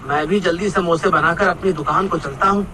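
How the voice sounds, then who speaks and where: A man's voice: fairly high pitch, fairly quick, noisy background, natural voice. A man playing a humble, eager samosa seller in a cartoon story, speaking politely and earnestly. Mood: worried, pleading. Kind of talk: Hindi cartoon story acting.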